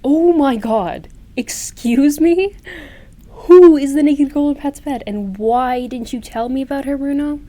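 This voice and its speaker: Woman playing indignant jealous girlfriend, higher voice, sharp-tongued, sarcastic, comedy radio play. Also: a little slow. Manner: sarcastic, confrontational.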